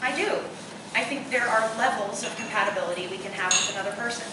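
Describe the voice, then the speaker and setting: A woman's voice: mid-range voice, quick pace, natural voice. A woman acting in a live radio drama, playing a blunt, skeptical woman who still hopes for love. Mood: earnest. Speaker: actor. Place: roomy live performance space, far from mic. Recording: very echoey, distant live recording, clear noise.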